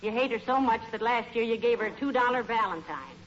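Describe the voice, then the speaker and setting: A woman's voice: a little high, expressive, fast talker, natural voice. A woman with an American accent playing a patient, wry middle-aged wife with a dry teasing manner in a 1940s radio comedy. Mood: teasing, accusing. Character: patient, wry wife who gently needles her husband. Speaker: comedy co-lead actor. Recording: dull, hissy old-time radio recording.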